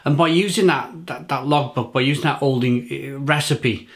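A man's voice: mid-range voice, normal speed. A man in his forties from England, reviewing a beer to camera in his home kitchen, chatty and often repeating words as he searches for them.

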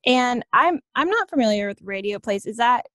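A woman's voice: a little high, expressive, normal speed, a bit bright, no echo, clean studio sound. A woman hosting a podcast, interviewing in a casual conversational style. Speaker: podcast host.

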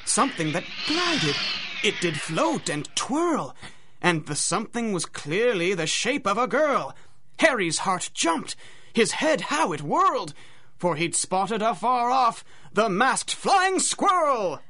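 A woman's voice: mid-range voice, very animated, takes it slowly, a bit bright. A woman narrating a scripted rhymed adventure story, with a dramatic, singsong rhythm.

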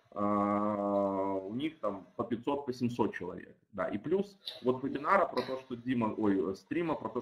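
A man's voice: lowish voice, poor audio. A man who is a non-native speaker of Russian, a startup team lead, explaining his product casually over a video call with many fillers and restarts. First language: not Russian, though Russian-speaking.

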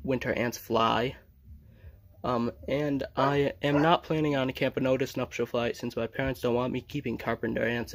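A man's voice: mid-range voice, no room sound. A young man casually narrating his own home video as a vlogger. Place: indoors in a room at home. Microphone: handheld phone behind the camera.

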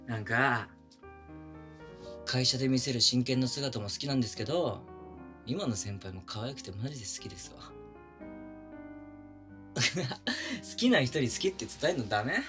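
A man's voice: medium pitch, expressive, moderate pace, pauses often, a bit bright, soft background music, lightly acted. A man playing a pushy, flirtatious younger coworker with puppyish eagerness, speaking casually and intimately to the listener in a romantic audio drama. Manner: casual junior-to-senior speech, teasing and coaxing. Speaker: voice actor in a romantic situation voice drama. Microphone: close binaural studio microphone.

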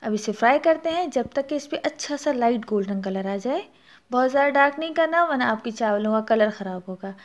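A woman's voice: a little high, lively intonation, brisk. A woman with a Pakistani Urdu accent hosting a cooking channel, narrating a recipe step by step and adding practical tips. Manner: explanatory, instructive, gives practical tips.

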